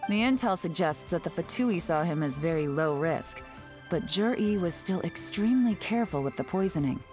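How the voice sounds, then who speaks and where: A cool female spy, voiced by a woman, with a lowish, even voice. She is composed and wry, laying out her schemes with quiet confidence. Faint music hums beneath her in this game scene. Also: moderate pace, natural voice. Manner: composed, wry, self-assured. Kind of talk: game cutscene dialogue.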